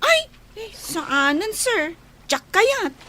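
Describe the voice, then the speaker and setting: A woman's voice: extremely high pitch, sing-song, very slow, bright, forceful tone, full character voice. A woman acting a young, lovestruck housemaid in an Ilocano radio drama, girlish and whiny with an Ilocano accent. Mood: timid, whiny.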